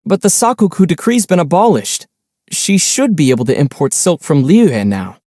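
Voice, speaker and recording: A man voicing a calm young adventurer in a video game, easygoing and measured, with a gently wry, teasing manner. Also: on the high side, no echo, studio quality. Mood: warm, calm. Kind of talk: video game character dialogue.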